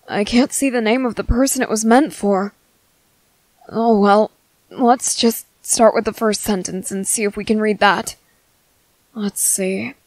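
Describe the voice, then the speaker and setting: A woman playing a gentle, curious young merboy in an ASMR roleplay, hesitant and musing to himself. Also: mid-range voice, no room sound. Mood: flustered, concerned. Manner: hesitant, musing to self, repeating words. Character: gentle, curious young merboy, soft-hearted and easily flustered.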